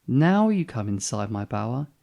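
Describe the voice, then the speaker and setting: A man's voice: medium pitch, very animated, moderate pace, gravelly, muffled recording. A man voicing a small, big-hearted male bowerbird in a storybook, lovelorn, earnest and polite. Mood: eager wooing.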